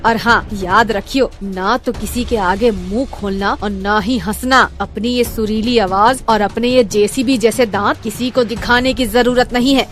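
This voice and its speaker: A woman voicing a sharp-tongued, sarcastic middle-aged mother-in-law in a cartoon story. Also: fairly high pitch, close to an everyday voice. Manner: scolding, dramatic, sarcastic. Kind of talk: cartoon voice acting.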